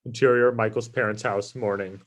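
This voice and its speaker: Male narrator for screenplay table read: lowish pitch, very flat delivery, matter-of-fact. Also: a little fast. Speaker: stage-direction reader.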